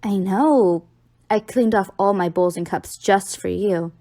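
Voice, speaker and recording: A woman's voice: mid-range voice, a little slow, warm tone, dry recording, clean studio sound, lightly acted. A young woman playing an affectionate, teasing college-age girlfriend in an audio roleplay, talking playfully to the listener.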